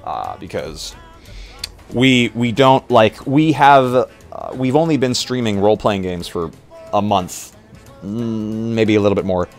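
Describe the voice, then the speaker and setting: A man's voice: lowish voice, expressive, measured pace. A man chatting casually on a livestream, rambling and hesitant, often repeating his phrases. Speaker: streamer and roleplay player. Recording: music playing behind. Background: music.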